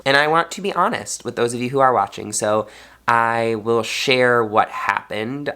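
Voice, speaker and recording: A man's voice: medium pitch, pushed and bright. A young man, a fourth grade teacher, talking earnestly in a personal vlog, sounding congested. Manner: earnest, heartfelt.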